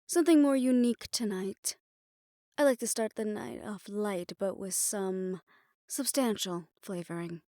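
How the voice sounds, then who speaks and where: A woman's voice: mid-range voice, a little slow, dark tone, dry recording, studio quality, natural voice. A woman playing a poised, worldly hotel manager in an assassin underworld audio roleplay, speaking in a composed, gracious and knowing manner. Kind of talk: roleplay audio drama acting.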